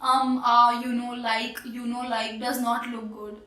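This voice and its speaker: A woman's voice: a little high, flat delivery, a little slow, bright, forceful tone, reverberant, recorded from a distance. A woman with an Hindi-speaking Indian accent giving earnest, encouraging English lessons to viewers. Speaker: English teacher.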